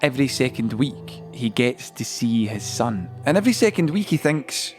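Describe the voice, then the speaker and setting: A man narrating a scripted audio play as a storyteller, with deliberate phrasing and dramatic pauses, over a musical score. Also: medium pitch, very animated, faint music behind.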